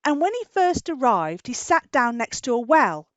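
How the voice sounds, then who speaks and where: A British woman tells a children's story in a fairly high voice. She is animated and full of questions, drawing out important words. The sound is dry and a little dull. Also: moderate pace, no echo. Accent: British English. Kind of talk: storytelling for young children, chatting with a puppet.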